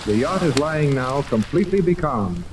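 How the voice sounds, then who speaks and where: An actor playing an earnest announcer, narrating a 1930s radio serial from a script. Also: male voice, a little high, lively intonation, moderate pace, natural voice. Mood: solemn. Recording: distant, crackly old radio recording.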